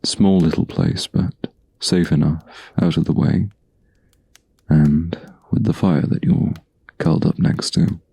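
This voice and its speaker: A man voicing a gentle, protective huntsman in a soft-spoken, intimate ASMR fantasy roleplay, with slow, soothing, old-fashioned phrasing and a low, gravelly, breathy voice. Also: fairly even intonation, dry recording, full character voice. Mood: calm, reassuring.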